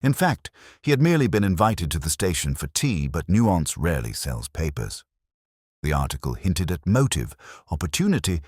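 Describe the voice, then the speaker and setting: Very deep-voiced male storyteller. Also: a little mellow, husky, no echo, clean studio sound. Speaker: audiobook narrator. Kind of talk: scripted storytelling narration of a mystery.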